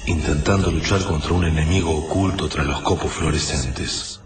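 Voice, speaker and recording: A man's voice: extremely low pitch, fairly even intonation, moderate pace. An actor playing a grieving man turned militia leader, in a sombre Argentine radio drama monologue. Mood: sombre, reflective. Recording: echoey, distant, music underneath.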